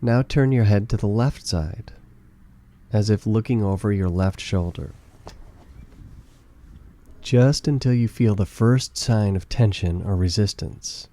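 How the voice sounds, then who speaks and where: A man leads a guided meditation in a low, very mellow voice. His delivery is calm and gentle, giving soothing instructions in a relaxed, warm tone. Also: measured pace, pauses often.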